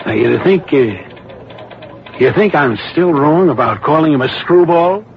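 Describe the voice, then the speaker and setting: Skeptical, animated radio-drama client. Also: male voice, a little high, unhurried. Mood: incredulous. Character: exasperated, skeptical male client with folksy bluntness. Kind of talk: 1950s radio drama acting. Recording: muffled, slightly noisy old radio recording.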